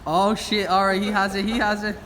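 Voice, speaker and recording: A man's voice: high-pitched, flat delivery, a little fast, poor audio. A man chatting casually while scouting a location. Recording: loud outdoor traffic noise.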